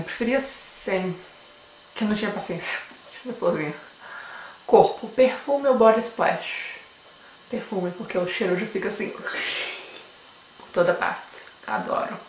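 A young Brazilian woman in her twenties with a Brasília accent, chatting playfully to viewers as a beauty vlogger, recorded on a webcam in a room at home. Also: medium pitch. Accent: Brasília Portuguese. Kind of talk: casual chat answering a beauty tag. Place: small room at home with bookshelf, indoors. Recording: roomy home room echo, faint noise.

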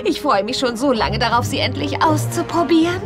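A woman's voice: high voice, animated, fairly quick, music in the background. A woman playing a gleefully cruel mermaid sorceress, theatrical and mock-polite, in a children's audio drama. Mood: gloating, menacing.

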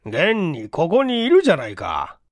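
A man's voice: fairly high pitch, wildly swooping pitch, moderate pace, vocal fry, no room sound, studio quality, heavily acted. A man voicing an authoritative, probing police chief in a mystery audiobook, with commanding, old-fashioned official speech. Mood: probing, stern. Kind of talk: dramatic audiobook character acting.

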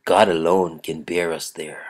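A man with a croaky voice giving a daily devotional, chuckling often and reading scripture aloud with emphasis. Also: low voice, flat delivery, unhurried. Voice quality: gravelly. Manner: chuckles often between phrases. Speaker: devotional host.

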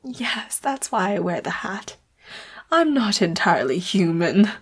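A woman playing a seductive, secretly murderous leopard-hybrid boss who is tender toward the listener, in an intimate audio roleplay, breathy and often whispering, with a coy, teasing manner. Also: medium pitch, sing-song, a little slow, full character voice. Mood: menacing, teasing.

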